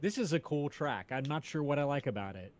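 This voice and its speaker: A man co-hosting a casual chat, speaking in a loose, halting, informal way. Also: on the high side, lively intonation, darkish tone, slight background noise. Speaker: co-host.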